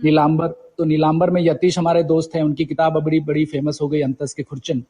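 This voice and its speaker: A man in his forties from Uttarakhand with a husky voice, telling his story conversationally as an interview guest, mixing in English words. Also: on the high side, level tone, fairly quick, dark tone, big-room sound. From Uttarakhand, India. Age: 40s. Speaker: guest poet.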